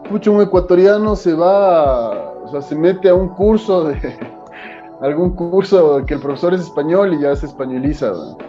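A man from Ecuador with an Ecuadorian accent, a musician guest in a casual interview, talking in an animated, rambling way full of fillers. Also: a little high, moderate pace, muffled recording, faint music behind. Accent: Ecuadorian Spanish. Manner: animated, rambling, fills with "o sea".

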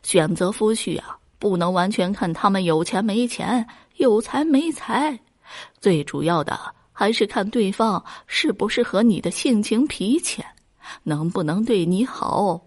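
A woman's voice: mid-range voice, animated, measured pace, slightly rough. A woman voicing a worried, wise old mother in a folk tale, sighing and admonishing. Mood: resigned scolding.

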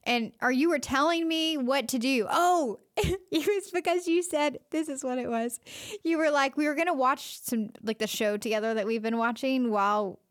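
A woman's voice: high voice, normal speed. A woman in her late twenties telling a personal story in a casual couple chat, rambling with lots of fillers. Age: 20s. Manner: chatty storyteller, many fillers, laughing often.

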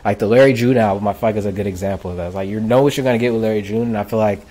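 Laid-back male podcast host with a lowish voice, easygoing conversational talk. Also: very fast, mellow. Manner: laid-back, lots of "like" and "I feel like". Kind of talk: casual music podcast chat.

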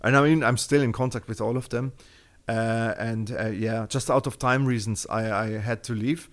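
A man's voice: mid-range voice, fairly even intonation, normal speed. Guest, male, German accent, casual and rambling, lots of fillers. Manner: rambling with many fillers and restarts. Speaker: podcast guest. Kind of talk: casual interview talk.

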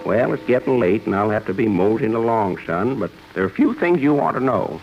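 An actor playing the Old Year, a kindly, folksy old-timer, in 1950s radio comedy style. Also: male voice, lowish voice, expressive, fairly quick, soft background music. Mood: folksy, advising. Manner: folksy, rustic phrasing. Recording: muffled, noisy old radio broadcast.

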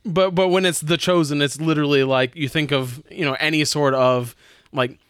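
A man's voice: on the high side, steady tone, normal speed, a bit bright, smooth, no echo. A man hosting and playing in a tabletop role-playing game, chatting casually with the group.

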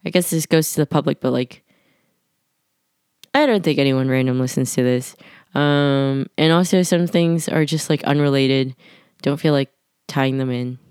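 A woman's voice: very deep voice, normal speed, pauses often, a little mellow, clear, resonant tone, dry recording, clean studio sound. A young woman recording a casual voice diary at home late at night, rambling with lots of fillers, her voice a little nasal. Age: young adult. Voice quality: slightly nasal. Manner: rambling, many "like" fillers, scatterbrained. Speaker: podcast diarist.

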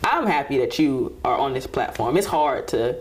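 Low-voiced young woman, lively podcast guest. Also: normal speed. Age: young adult. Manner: lively, laughs often, hypes people up. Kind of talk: casual podcast conversation.

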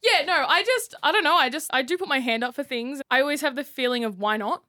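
A young woman in her twenties, chatting in an upbeat, easygoing way. Her voice is high and bright. The sound is close and dry. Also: fast talker, no echo. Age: 20s. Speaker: radio creative writer and announcer. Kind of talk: casual chat. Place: radio studio.

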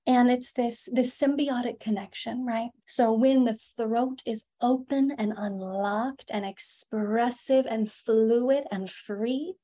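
Mellow-voiced woman, flowing spiritual talk. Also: a little high, steady tone. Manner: soft, emphatic, lots of "like" and "you know", drawn-out words. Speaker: podcast guest, feminine embodiment coach.

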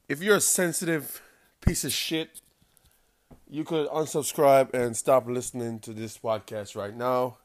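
Jamaican man, podcast host, rambling and profane, smooth voice, dry close sound. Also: mid-range voice, darkish tone, no room sound. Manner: rambling, profane, laughs often, many "um"s. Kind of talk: casual solo podcast talk.

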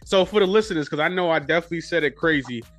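A man's voice: a little high, racing, fairly bright tone, soft background music. A man hosting a podcast, talking in a hyped, slangy, repetitive way in casual interview chat. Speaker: podcast host. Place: New York City radio studio.

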